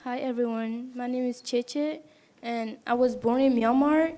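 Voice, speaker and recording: A woman's voice: on the high side, fairly even intonation, measured pace, noisy background. A seventeen-year-old girl raised in Thailand, giving a short, plain introduction in simple non-native English as a student speaker. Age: teens.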